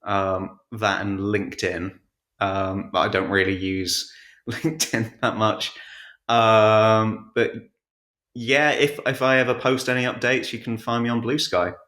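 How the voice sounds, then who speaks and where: Low-voiced man in an echoey room. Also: fairly even intonation, unhurried, pushed and bright. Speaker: podcast guest, audiobook narrator. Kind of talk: casual interview chat. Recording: echoey, roomy voice pickup.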